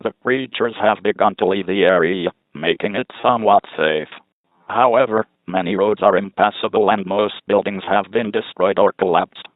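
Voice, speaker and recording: A man's voice: fairly low pitch, moderate pace, no echo. A man reading a scripted emergency message as an announcer, formal, impersonal and synthetic, on a tinny broadcast. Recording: dry, tinny phone-like broadcast.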